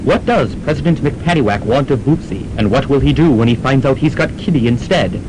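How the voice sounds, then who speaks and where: A man's voice: a little fast, natural voice. A man playing the mock-dramatic narrator of a student radio serial. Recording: noisy, rumbling amateur radio recording.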